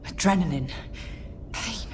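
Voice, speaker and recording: An actress playing an injured, caring space explorer in a video game, shaken and weary, speaking haltingly and earnestly. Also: female voice, lowish voice, expressive, a little mellow, slight background noise. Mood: shaken, relieved.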